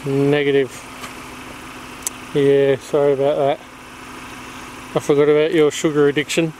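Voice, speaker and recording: A man's voice: fairly high pitch, little pitch movement, unhurried, mellow. A middle-aged man with an Australian accent, filming a camping trip and joking with his kids in a casual vlog. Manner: laid-back, joking banter with his kids. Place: in a car, then outdoors at a bush campsite. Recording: noisy outdoor camera audio.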